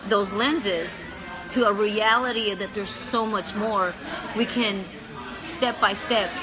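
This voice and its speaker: A woman's voice: mid-range voice, low-quality recording. A woman hosting a casual livestream chat, upbeat and encouraging, often chiming in. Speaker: livestream host. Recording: background music and crowd noise.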